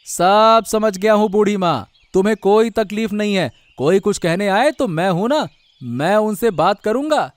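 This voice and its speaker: A man's voice: high voice, animated, measured pace, dry recording. A man voicing a loyal, protective village man in a cartoon story, speaking emphatically. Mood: reassuring. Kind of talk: Hindi cartoon story voice acting.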